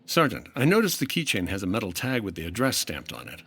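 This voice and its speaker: An actor playing a dry, no-nonsense insurance investigator in an old-time radio detective drama, clipped, wry and deadpan. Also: male voice, fairly low pitch, fast talker, husky, exaggerated voice. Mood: probing.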